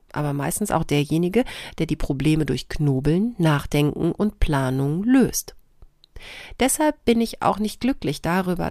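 Woman podcast host, lowish voice, really dry close sound. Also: a little mellow, no room sound. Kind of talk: podcast talk, reading out written answers and chatting.

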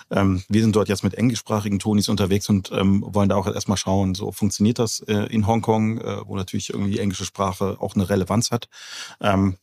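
A man's voice: on the low side, gravelly, darkish tone. A company co-founder speaking as an interview guest in a casual way, a man who searches hesitantly for his words.

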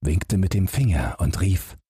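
A man's voice: low-pitched, expressive, a little slow, warm tone, husky, no echo, studio quality, heavily acted. A man voicing a fearless, naive and cheerful young lad in a storybook tale, perky and cheeky. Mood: gleeful, calling. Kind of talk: storybook character voice.

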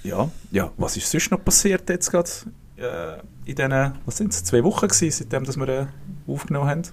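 A man hosting a casual podcast in Swiss German, joking and relaxed in his banter. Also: medium pitch, a little fast, a little hiss or hum. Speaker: podcast host. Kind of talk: casual chat.

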